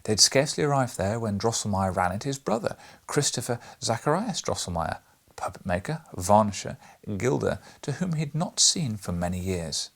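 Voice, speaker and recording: Guy reading a classic storybook aloud, really animated, bit gravelly and husky. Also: lowish voice, normal speed. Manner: expressive, theatrical storyteller. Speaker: audiobook reader. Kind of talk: scripted storybook narration.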